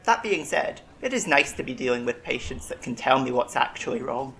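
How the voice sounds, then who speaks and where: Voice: mid-range voice, animated, a little fast, gravelly, a bit bright. An actor playing a centuries-old, eccentric alien medic in a science-fiction audio drama, polite, eager and talkative with learned diction. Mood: chatty, reflective.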